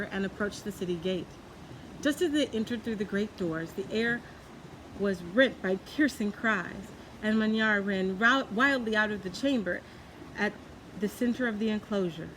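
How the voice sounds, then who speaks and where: A woman's voice: medium pitch, normal speed, fairly bright tone. A woman narrating a children's story from a book as a storyteller. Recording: clearly noisy background.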